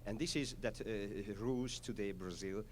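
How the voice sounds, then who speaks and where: A man's voice: lowish voice, slight background noise. Hungarian-accented man introducing a concert piece. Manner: halting, with frequent "uh" fillers, enthusiastic. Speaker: orchestra conductor.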